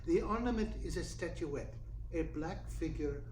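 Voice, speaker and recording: A man playing a mannered foreign client in a radio play, unctuously polite, with halting, careful phrasing. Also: fairly high pitch, lively intonation, darkish tone, lightly acted. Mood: oily, courteous. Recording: roomy echo, clear background noise.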